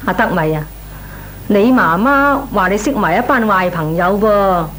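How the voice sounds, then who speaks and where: A motherly middle-aged aunt in an old Cantonese radio comedy. She is reproving yet coaxing, sighing in Hong Kong Cantonese at a middling pitch. The recording carries faint background noise. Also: lively intonation, a little slow, fairly bright tone, poor audio. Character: exasperated, well-meaning middle-aged aunt, motherly and nagging.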